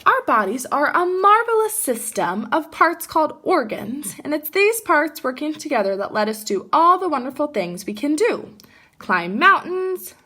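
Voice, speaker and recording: A woman teacher reading a children's storybook aloud in an animated, emphatic way and speaking directly to young listeners. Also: on the high side, slightly rough. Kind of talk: expressive children's storybook read-aloud with asides.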